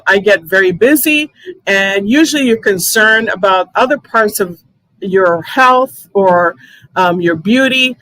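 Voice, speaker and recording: A woman's voice: medium pitch, bright, forceful tone, dry recording, rough recording. A woman hosting a live online interview, chatty, with many fillers and restarts. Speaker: host.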